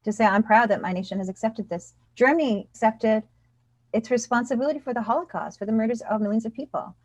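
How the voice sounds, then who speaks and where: A woman's voice: on the high side, quick pace, no room sound. A woman professor, a guest on an interview over a video call, explaining her views earnestly and often saying "you know". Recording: dry, dull video-call audio.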